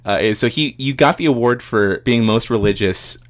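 A man's voice: medium pitch, moderate pace. A man hosting a podcast, chatting casually as he interviews a guest. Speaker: podcast host.

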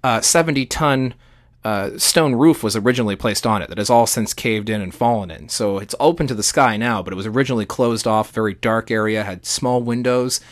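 A man's voice: lowish voice, a little fast, no echo, clean studio sound. A man co-hosting a podcast, explaining history in an enthusiastic, conversational and emphatic way. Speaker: podcast co-host.

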